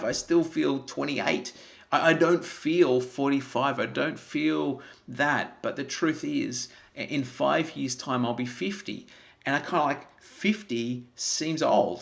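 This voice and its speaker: A man's voice: mid-range voice, gravelly. Earnest man in his forties. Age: 40s. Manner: earnest, repeats words, many restarts. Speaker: health vlogger. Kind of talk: live-streamed motivational talk.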